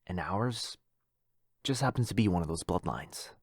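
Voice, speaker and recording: Man playing clingy brooding vampire, low and dry. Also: lively intonation, normal speed, breathy edge, no echo, heavily acted. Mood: confiding, sad. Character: needy, wounded, clingy yandere vampire brother. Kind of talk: boyfriend ASMR roleplay audio drama.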